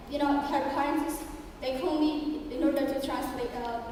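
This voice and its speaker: A young woman from Ethiopia gives a prepared speech to an audience, pausing often and restarting her phrases. Her voice is high, and it is picked up from a distance. The long reverb of a large hall hangs around every word. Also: a little mellow, slight background noise. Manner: halting, many fillers and restarts. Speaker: student speaker. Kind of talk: prepared speech at a public event. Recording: echoey hall recorded from far off.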